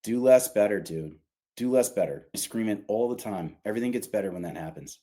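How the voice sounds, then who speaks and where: This is a man with a low-pitched, very mellow voice. He talks as an executive guest in a casual interview, putting his opinions in colloquial, emphatic terms. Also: lively intonation, brisk. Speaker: company revenue executive, podcast guest.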